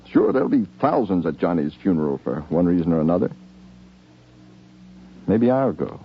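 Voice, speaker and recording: An actor playing a stern, world-wise American police chief in a 1950s radio drama, commanding and plain-spoken. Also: male voice, low voice, sing-song, moderate pace, creaky, full character voice. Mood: grave, instructive. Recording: noisy, muffled 1950s radio recording.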